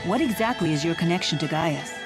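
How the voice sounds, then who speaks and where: Calm blunt game heroine, really low voice, music behind. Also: female voice, fast. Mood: dry, probing. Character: composed, blunt, resolute young woman. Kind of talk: English video game voice acting. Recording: background music under the voice.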